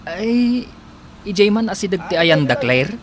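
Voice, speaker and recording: A man's voice: sits high, very animated, a little slow, a crowd in the background. A man acting in a radio drama as a respectful, friendly aide who runs detective errands. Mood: friendly, inquiring. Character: deferential male aide doing detective errands, friendly when asking around.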